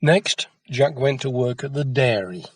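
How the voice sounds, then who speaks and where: A man narrating a children's folktale as an expressive storyteller. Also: medium pitch, a little slow, husky, dry recording.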